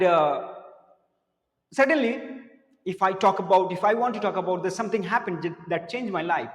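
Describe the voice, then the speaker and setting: A man with a high voice gives an earnest lesson on speaking to camera. He often repeats words and drops his voice low for serious points. The room is echoey with a long reverb. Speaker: spoken English teacher. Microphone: camera mic, speaking to camera.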